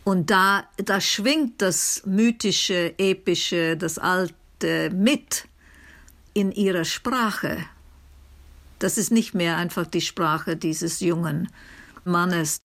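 Slow, low-voiced woman explaining literature. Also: fairly bright tone. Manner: halting, thoughtful, seeks agreement with tag questions. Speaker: interviewed commentator on literature.

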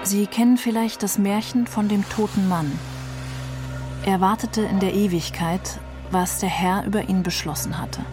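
A woman's voice: medium pitch, steady tone, normal speed. A woman reading literary prose as scripted narration. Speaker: reader of literary excerpts. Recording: prominent music behind the voice. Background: music.

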